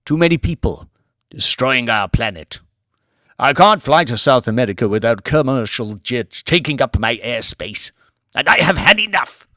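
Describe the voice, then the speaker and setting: A man's voice: mid-range voice, a bit bright, dry recording, studio quality. A man playing a pompous ancient villain lord. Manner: slow, grand, drawling.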